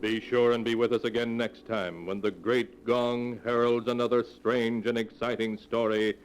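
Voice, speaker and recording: A man's voice: mid-range voice, little pitch movement, moderate pace. A man announcing a radio drama in formal, dramatic 1940s style.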